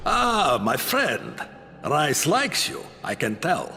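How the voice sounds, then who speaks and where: A man's voice: a little high, wildly swooping pitch, slow, creaky, sharp tone, big-room sound, soft background music, heavily acted. A man playing a sly, affable gang go-between in a video game, speaking insinuatingly. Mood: sly, friendly. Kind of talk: video game cutscene acting.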